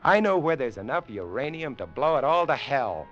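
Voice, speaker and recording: A man's voice: medium pitch, very animated, normal speed, faint music behind. An actor playing a menacing, unhinged man who mocks and laughs in a 1940s radio drama, on a dull old recording. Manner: mocking, laughing, wild swings from mutter to shout. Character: menacing middle-aged man, sneering and unhinged.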